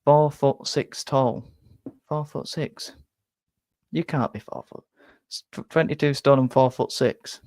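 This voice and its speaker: A man's voice: medium pitch, measured pace, no room sound. Rambling young Yorkshire man, dry close sound. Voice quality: bit nasal, flat. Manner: rambling, self-deprecating, stumbles and repeats words. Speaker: football fan streamer reading chat. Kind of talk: casual live-stream chat.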